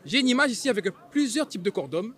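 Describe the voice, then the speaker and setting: Playful quick-talking young man interviewer. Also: very high voice, very animated, a bit bright. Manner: playful, pushy, joking. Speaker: street interviewer. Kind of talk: teasing street vox pop. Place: busy city street outdoors. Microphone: handheld mic.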